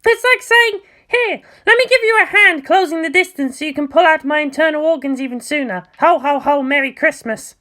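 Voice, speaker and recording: A woman's voice: high voice, a little fast, few pauses, pushed and bright, pitched above the performer's own voice. A woman voicing a brash, fiery teenage girl mecha pilot in a story, blunt and sarcastic. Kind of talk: acted story dialogue.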